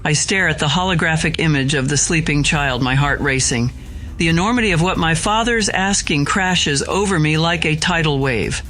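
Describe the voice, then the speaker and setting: A man narrating an audio drama in the first person as an awed, anxious young heir, in a measured dramatic way. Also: a little high, sharp tone, faint music behind. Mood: overwhelmed.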